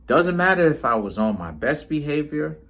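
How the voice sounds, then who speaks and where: A man's voice: on the high side, sing-song, moderate pace, a bit bright. A man, talking casually to the camera in a rambling way, often tagging his lines with "right?". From Trinidad and Tobago, raised in New York City. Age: 30s. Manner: rambling, often tags lines with "right?" and "you know what I'm saying". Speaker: tarot reader and vlogger.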